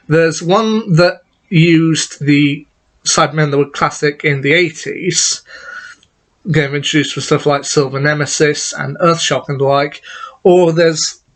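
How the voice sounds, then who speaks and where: A man's voice: on the high side, a bit bright, rough recording. Chatty man reviewing an audio drama. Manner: chatty, enthusiastic, with frequent restarts and asides. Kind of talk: casual spoken review.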